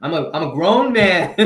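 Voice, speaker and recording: A man in his thirties co-hosting a comedy podcast, ranting in animated, profane casual chat and laughing as he talks. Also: high-pitched, echoey room. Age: 30s.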